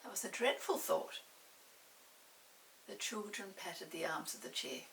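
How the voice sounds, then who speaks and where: A woman's voice: medium pitch, a little slow, creaky, a bit bright. An older woman narrating a children's story expressively, with dramatic touches. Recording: distant mic with hiss.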